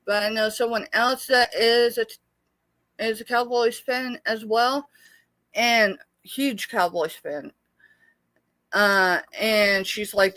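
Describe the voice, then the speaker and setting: The middle-aged American woman you'd find running a small online sale, chatting away in a highish voice, close and dry. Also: bright, forceful tone, no room sound. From United States, born in Texas. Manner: chatty, rambling, addresses viewers familiarly. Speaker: live sale host and small seller. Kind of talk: casual live-stream chat with viewers.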